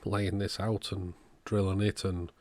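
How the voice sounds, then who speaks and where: Low-pitched man, matter-of-fact. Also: level tone, measured pace, a little mellow, no echo. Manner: matter-of-fact, thinking aloud, understated. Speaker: electronics repairer presenting own channel. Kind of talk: casual repair walkthrough commentary. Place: indoors at a workbench.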